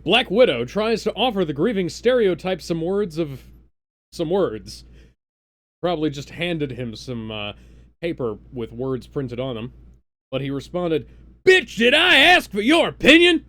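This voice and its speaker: A man doing a comedic read-aloud on a livestream, animated, with casual, halting asides. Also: a little high, dry recording. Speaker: co-reader on a livestream. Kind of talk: comedic dramatic reading with casual banter.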